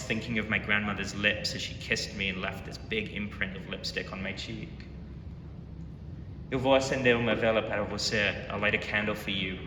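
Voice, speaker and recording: A young man from London talks earnestly and reflectively about his work, his voice slightly low. He is picked up from far off in a long-ringing hall, with a steady rumble of noise behind him. The sound is that of a museum gallery talk. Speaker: artist giving a gallery talk. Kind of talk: presentation talk. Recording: echoey hall, mic far off, noisy rumble.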